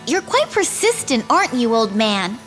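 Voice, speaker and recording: A woman's voice: on the high side, sing-song, moderate pace, fairly bright tone, soft background music. A woman playing a headstrong, naive young fairy princess in a fantasy game, girlish and eager. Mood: cheeky. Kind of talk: fantasy video game voice acting.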